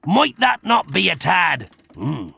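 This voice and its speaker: A man voicing a nervous, obsequious pirate captain henchman with a gravelly voice in a fantasy video game. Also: a little high, huge pitch swings, takes it slowly, bright, forceful tone, exaggerated voice. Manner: fawning, salty sea-dog talk.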